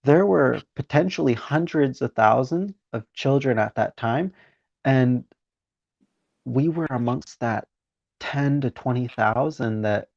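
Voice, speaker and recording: Gravelly-voiced interviewer on a dull, dry line. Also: male voice, medium pitch, slow, darkish tone, dry recording. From Romania. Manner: enthusiastic, encouraging. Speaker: interviewer, podcast host. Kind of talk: conversational interview.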